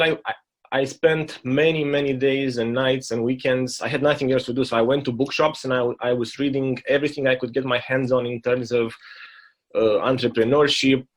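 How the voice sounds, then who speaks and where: Romanian-accented man telling his story earnestly. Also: medium pitch. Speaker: interview guest, speaker. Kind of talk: personal storytelling in an interview.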